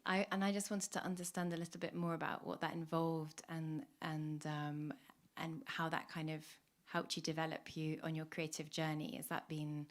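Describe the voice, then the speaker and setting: Flat, low-voiced female host. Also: normal speed. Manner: polite, rambling question with fillers. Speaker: event host. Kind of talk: asking a panel question.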